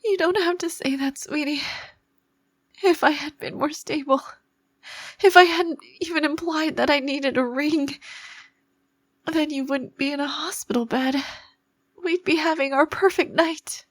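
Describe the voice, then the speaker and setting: Woman acting a high-voiced obsessive yandere girlfriend. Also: measured pace, long pauses, no room sound. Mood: tearful guilt. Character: obsessive possessive yandere girlfriend, menacing yet fragile and devoted. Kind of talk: ASMR roleplay acting.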